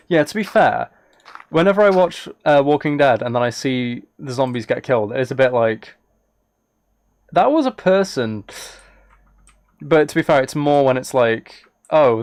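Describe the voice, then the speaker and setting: A man's voice: medium pitch, no echo, studio quality. Man musing aloud on a gaming livestream. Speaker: live streamer. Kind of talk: casual gaming livestream chat.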